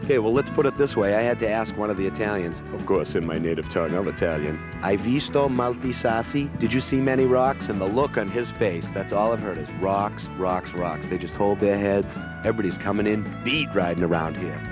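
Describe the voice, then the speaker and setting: A man hosting a motorsport show on location, talking in a jokey, wisecracking, high-energy way. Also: fairly quick, hardly any pauses, music in the background. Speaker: show host.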